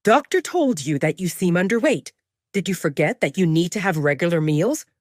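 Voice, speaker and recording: A woman's voice: fairly low pitch, lively intonation, normal speed, no room sound, studio quality. A woman voicing a grumpy, impatient alpha male werewolf who scolds and demands answers in an audio drama. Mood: grumpy, scolding.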